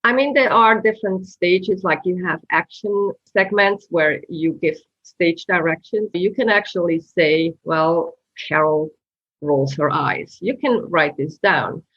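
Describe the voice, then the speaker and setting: Low-voiced woman on a dull remote line. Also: no echo. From Switzerland. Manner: earnest, storytelling, slightly halting. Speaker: guest, screenwriter. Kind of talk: interview answers.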